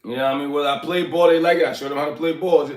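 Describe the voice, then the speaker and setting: A man's voice: on the high side, steady tone, very fast. Rambling 40s man, echoey room. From Liberia. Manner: loose, rambling, answers chat by name. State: recovering from illness, coughing. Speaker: livestream host. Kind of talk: casual livestream chat. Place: apartment.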